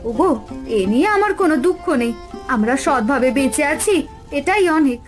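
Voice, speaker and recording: A woman voicing a very high village wife. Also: lively intonation, moderate pace, faint music behind. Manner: scolding, fretful. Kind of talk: cartoon voice acting.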